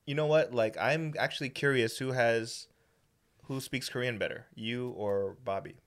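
Male podcast host, mid pitch, profane and animated storyteller. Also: normal speed, clear, resonant tone. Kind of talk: casual chat. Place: indoor studio room.

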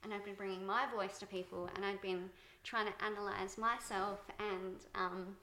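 A soft-spoken woman being interviewed about her directing work, thoughtful and pausing with fillers. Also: medium pitch, flat delivery, roomy sound, slight background noise. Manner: thoughtful, many fillers and restarts. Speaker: guest, TV director. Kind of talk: reflective interview talk.

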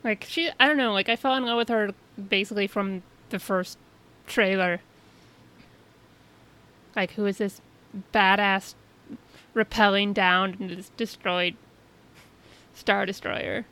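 A woman's voice: medium pitch, steady tone, slow, long pauses, bright, forceful tone, smooth. A woman co-hosting a podcast, chatting casually and gushing eagerly. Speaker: podcast co-host.

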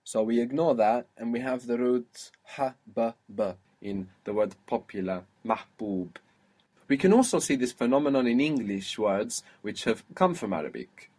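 A man teaching a one-on-one language lesson, patiently explaining and spelling out sounds slowly. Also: mid-range voice, animated, a little mellow. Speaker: Arabic teacher.

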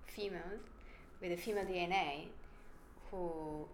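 A woman's voice: lowish voice, fairly even intonation, moderate pace, reverberant, slight background noise, low-quality recording. A woman with an Italian accent, a researcher explaining her work in an emphatic, conversational interview. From Italy. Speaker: brain researcher guest.

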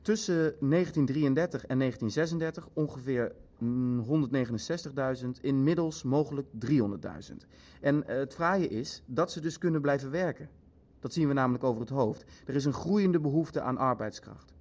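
An actor in an audio drama playing a pragmatic Nazi official who speaks in a polite, measured, coldly matter-of-fact way. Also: male voice, mid-range voice, a little mellow, close to an everyday voice.

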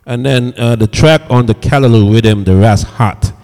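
A man's voice: medium pitch, normal speed, darkish tone, clean tone. A man hosting a live music interview in an affirming, agreeable manner. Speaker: interview host. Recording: reverberant, echoing sound.

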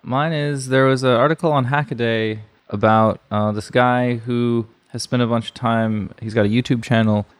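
A man's voice: mid-range voice, fairly even intonation, moderate pace, few pauses, clear, resonant tone. A man co-hosting a podcast, explaining things in casual conversation. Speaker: podcast co-host. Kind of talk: casual chat.

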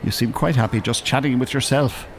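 A man's voice: medium pitch, steady tone, a little fast, lightly acted. An actor playing a bookish, irritable older man in a radio drama, articulate, polite and drily sarcastic. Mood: exasperated. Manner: polite, dry, exasperated, articulate. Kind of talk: naturalistic radio drama acting. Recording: urban ambience, faint background noise. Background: shopping centre ambience.

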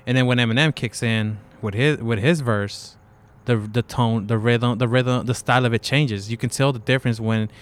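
A man co-hosting a casual podcast, talking in halting stretches full of fillers and restarts. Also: medium pitch, fairly even intonation. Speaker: co-host. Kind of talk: casual chat.

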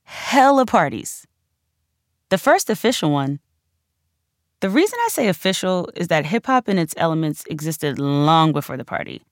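Female audiobook narrator, lowish register, lively swoops, conversational and emphatic, close dry sound. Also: normal speed, no room sound. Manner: conversational, emphatic storytelling with casual slang. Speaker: author narrating own audiobook.

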